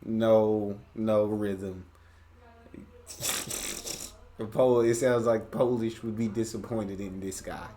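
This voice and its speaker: American dude doing reaction vids, kinda low voice, slangy and chill, bit of hiss behind. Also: male voice, fairly even intonation, drawn-out words, long pauses, dark tone. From United States. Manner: laid-back, slangy, chatty. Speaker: reaction channel host. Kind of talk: casual reaction commentary.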